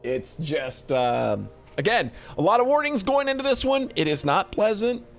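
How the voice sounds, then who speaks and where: A man giving casual, rambling livestream commentary, thinking aloud in an informal, halting way. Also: high-pitched, very animated, gravelly, soft background music. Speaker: streamer hosting a tier list of games.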